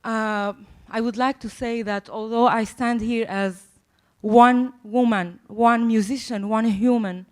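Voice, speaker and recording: A woman's voice: on the high side, fairly even intonation, slow pace. Earnest young woman. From Syria. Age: young adult. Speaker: refugee musician speaking at a panel. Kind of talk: prepared panel speech.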